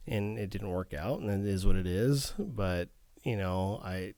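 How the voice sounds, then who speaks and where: A man's voice: low-pitched, measured pace, darkish tone, no room sound. A middle-aged man co-hosting a podcast, talking candidly and rambling in casual chat, swearing now and then. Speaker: podcast co-host.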